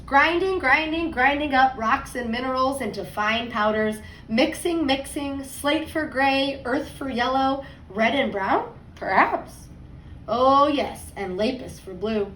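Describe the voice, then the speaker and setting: Echoey, higher-pitched woman reading to young children. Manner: expressive, deliberate storytelling with emphasized words and conversational asides. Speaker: second grade teacher. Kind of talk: picture book read-aloud for young children, with explanatory asides. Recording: roomy echo, distant mic, faint noise.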